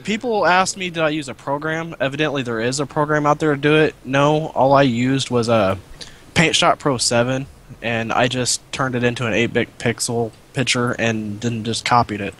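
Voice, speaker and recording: A man's voice: mid-range voice, moderate pace, a bit bright, slight background noise. Man chatting casually as a gaming guest. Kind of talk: casual chat on a live stream. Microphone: cheap headset.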